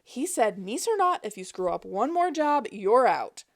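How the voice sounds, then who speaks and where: A woman voicing a quick-witted young woman thief who talks boldly. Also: lively intonation, a little mellow. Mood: fake panic. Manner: bold, fakes high-pitched panic.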